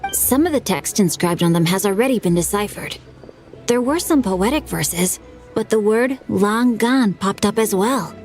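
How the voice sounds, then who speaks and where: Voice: medium pitch, animated, close to an everyday voice. A woman voicing a polite bookish young scholar. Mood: explaining. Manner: measured, explanatory. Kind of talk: video game cutscene dialogue. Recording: game audio with faint music.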